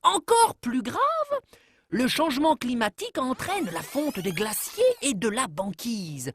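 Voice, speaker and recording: A woman narrator with a high voice that swings widely in pitch. She tells an explainer cartoon like a fable, phrasing each thought deliberately and without hurry, in a dry, close recording. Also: sing-song, slightly rough, no room sound. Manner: measured storytelling delivery with deliberate phrasing. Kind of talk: scripted narration of an educational cartoon, told like a storybook tale.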